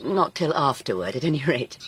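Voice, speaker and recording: Sly, husky, theatrically lilting friend. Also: male voice, medium pitch, sing-song, a little fast, fairly bright tone, heavily acted. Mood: sly, teasing. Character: sly, teasing house-owning friend with a ghost tale. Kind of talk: radio drama acting.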